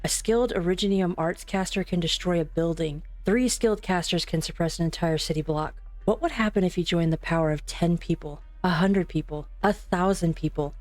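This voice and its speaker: A woman playing a grave, principled scientist with quiet anger in an amateur game fan dub. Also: on the low side, fairly quick, few pauses, no echo, faint music behind, lightly acted. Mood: grave, warning.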